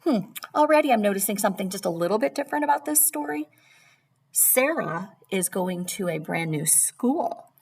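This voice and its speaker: Woman, teacher, children's read-aloud, very animated intonation, breathy rasp, talks straight to the kids. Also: mid-range voice, moderate pace. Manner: expressive, addressing children directly.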